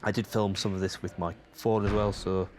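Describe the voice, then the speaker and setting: A man's voice: deep voice, little pitch movement, normal speed, a little mellow, slight background noise. A man hosting his channel, giving casual, rambling voice-over commentary with frequent hesitations, his voice still a little stuffed up and tired from a cold. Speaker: channel host.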